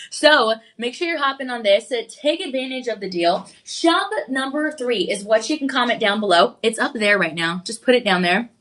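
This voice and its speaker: Woman, shopping channel host, slightly high voice, congested, chatty live sales pitch, a cough now and then. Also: fairly bright tone. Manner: chatty, upbeat, reads viewer comments aloud.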